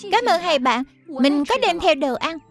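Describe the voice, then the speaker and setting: A woman's voice: high voice, lively intonation, slow pace, soft background music. A woman voicing an earnest, studious young boy in a cartoon dub, careful and righteous in manner. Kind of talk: Vietnamese cartoon dub acting.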